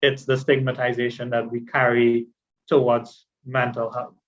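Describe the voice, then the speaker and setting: Male presenter, conversational and explanatory, fairly even pitch, slightly muffled lo-fi recording. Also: normal speed. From Trinidad. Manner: explanatory, conversational, poses questions then answers them. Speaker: guest speaker, mental health presenter. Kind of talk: educational presentation with personal anecdotes.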